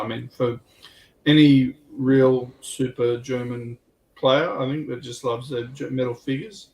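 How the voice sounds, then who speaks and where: A man's voice: medium pitch, gravelly, a little mellow. A man chatting casually and informally as a panelist on a hobby livestream. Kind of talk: casual chat. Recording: distant mic with background noise.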